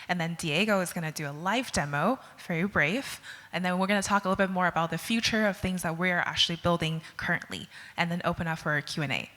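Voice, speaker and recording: Bright-voiced woman presenting in a hall. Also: fairly low pitch. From Taiwan. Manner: friendly, with frequent "uh" fillers. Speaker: product manager presenting at a tech conference. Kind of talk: conference talk. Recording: long hall reverb.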